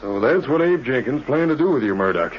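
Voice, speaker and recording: An actor playing a scheming frontier crony with folksy diction in a 1940s radio western. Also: male voice, medium pitch, lively intonation, a little fast, husky. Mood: sly, matter-of-fact. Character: scheming frontier crony bringing news from town. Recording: muffled old radio recording.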